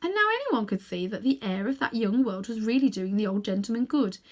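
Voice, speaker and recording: A woman narrating a children's storybook aloud in an expressive reading style. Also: on the high side, fairly quick. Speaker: storybook reader.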